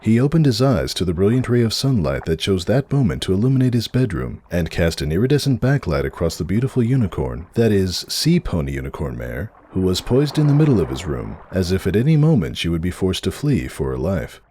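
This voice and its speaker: A man's voice: sits low, very animated, fairly quick, few pauses, dark tone, husky. A man reading a story aloud as a dramatic, scripted narrator. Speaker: narrator of a fan fiction reading.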